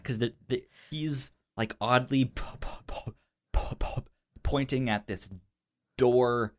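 A man with an average-pitched voice talks casually about a film as a podcast co-host. His delivery is halting, with stuttered and repeated syllables breaking up his sentences. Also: steady tone.

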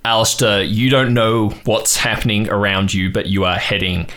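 A man running a tabletop game as game master, improvising dramatic horror narration with pauses for suspense. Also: lowish voice, little pitch movement. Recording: loud music behind the voice.

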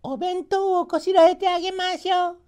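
Man playing a high-pitched, doting old granny. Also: level tone, moderate pace. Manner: doting, gently fussing. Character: kindly, fussing old grandmother of a folk tale.

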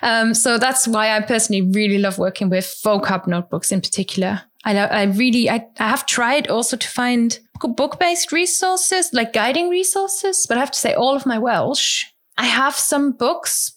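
Chatty German-born woman guest. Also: moderate pace. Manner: chatty, restarts often, acts out quoted thoughts in a higher voice. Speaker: interview guest, language learner. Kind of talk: casual conversational explanation.

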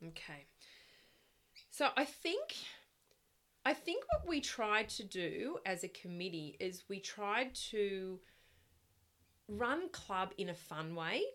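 A middle-aged woman with a loud voice that projects, chatting as a guest in a casual interview, rambling and laughing often. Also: mid-range voice, sing-song, takes it slowly, long pauses. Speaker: guest, parent of the host.

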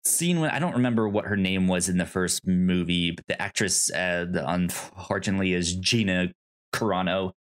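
A man co-hosting a podcast in casual movie chat, with a halting, hesitant delivery. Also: low-pitched. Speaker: podcast co-host.

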